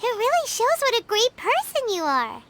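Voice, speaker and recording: A woman voicing a tiny, bubbly fairy girl companion in a game, chirpy and excitable, calling herself by her own name. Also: extremely high pitch, normal speed, exaggerated voice. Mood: curious. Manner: chirpy, excitable, talks about herself in third person. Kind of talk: game voice acting. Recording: game audio with background music.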